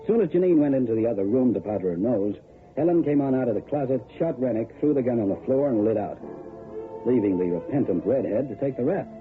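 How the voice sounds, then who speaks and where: An actor playing a tough, sardonic private detective in an old-time radio drama, wry, methodical and slangy. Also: male voice, mid-range voice, animated, fast, natural voice. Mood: explanatory. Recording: tinny old radio recording, music behind.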